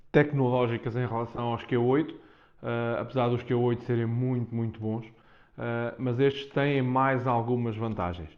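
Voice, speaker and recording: Low-voiced Portuguese man, casual, slightly muffled. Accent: European Portuguese. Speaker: vlogger presenting a product unboxing. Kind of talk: casual unscripted unboxing talk to viewers. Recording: dull, muffled lo-fi sound.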